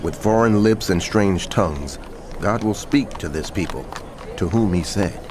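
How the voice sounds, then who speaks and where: Slow, dramatic male scripture narrator. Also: low-pitched, background noise. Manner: declamatory, emphatic.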